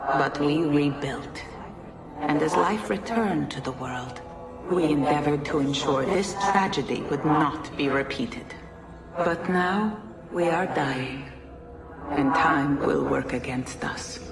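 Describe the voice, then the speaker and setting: A woman voicing an ancient godlike being in a game cutscene, speaking in solemn, measured, formal oration. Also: sits low, creaky, exaggerated voice. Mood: solemn, mournful. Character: ancient godlike female being of a dying precursor race, solemn. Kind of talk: dramatic game cutscene monologue. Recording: echoey, distant voice under loud music.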